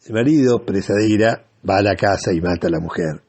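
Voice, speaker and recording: A man with a Rioplatense Argentine accent hosting a radio show in a reflective, halting and deliberate monologue. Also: lowish voice, a little mellow, slightly rough.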